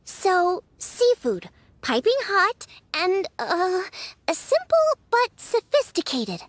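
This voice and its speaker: A woman voicing a tiny, excitable girl companion in a video game, who talks about herself in the third person. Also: very high voice, takes it slowly, no room sound, exaggerated voice. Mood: chirpy.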